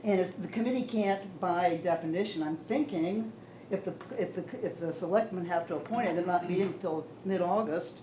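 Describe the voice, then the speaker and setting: A woman giving public comment at a town meeting, loud, emphatic and insistent. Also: lowish voice, fairly quick. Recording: echoey meeting room, light room noise.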